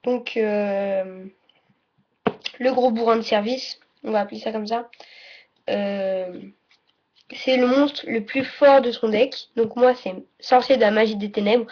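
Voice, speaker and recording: A woman giving a casual, unscripted tutorial as presenter, halting and often correcting herself or trailing off mid-sentence. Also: a little high, fairly even intonation. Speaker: presenter of a card game tutorial. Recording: dull lo-fi audio, faint noise.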